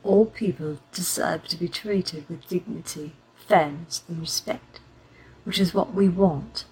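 A woman takes on an elderly grandmother who once fought as a partisan. She speaks in a lowish, steady voice with rallying conviction, like a general writing to her troops. A faint background hiss runs under the audio drama recording. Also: unhurried, poor audio. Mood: resolute. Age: older. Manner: steady, rallying letter-reading. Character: elderly grandmother, war veteran, resolute.

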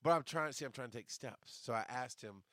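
A man with a deep, bass-heavy voice, speaking at a middling pitch into a podcast microphone. He tells a story casually, swears freely and acts out other people's lines with animation. The sound is close and dry, with no room echo. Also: fairly quick, fairly bright tone. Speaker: podcast host.

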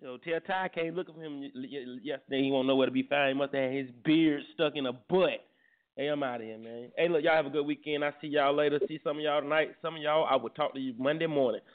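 Teasing morning radio host, tinny sound. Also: male voice, on the high side, fairly even intonation, fast talker. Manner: loud, teasing, trash-talking. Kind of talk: joking morning radio banter.

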